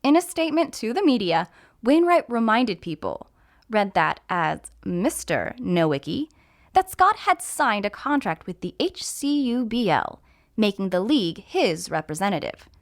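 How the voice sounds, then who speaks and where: A woman's voice: mid-range voice, very animated, measured pace. A woman narrating a scripted mystery story as an actor reading aloud. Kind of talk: scripted audiobook narration with character voices.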